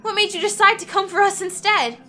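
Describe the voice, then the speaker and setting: Man as frightened teenage village girl, fantasy audiobook. High, thin, breathy, earnest. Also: fairly even intonation, fairly quick, bright, forceful tone, soft background music, exaggerated voice. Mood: curious.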